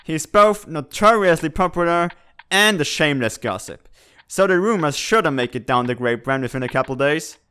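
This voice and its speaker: A man's voice: a little high, expressive, normal speed, bright, forceful tone, clear, resonant tone. A man voicing a goofy but dutiful bird spy in a comic dub, deferential and colloquial in his speech. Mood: sheepish, animated. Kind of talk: dramatic fan-dub comic acting.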